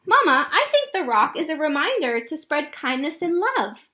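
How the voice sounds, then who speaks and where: An eager, kind-hearted young schoolgirl from a storybook, acted out by a woman reading aloud. The voice is very high and swings widely in pitch, earnest and expressive. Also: moderate pace, full character voice.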